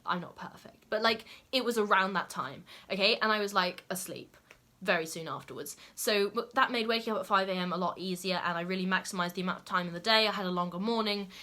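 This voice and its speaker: Bright-voiced young woman vlogging chattily. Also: medium pitch. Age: young adult. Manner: chatty, many "like" and "okay?" asides. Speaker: lifestyle YouTuber. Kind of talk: casual talk to camera.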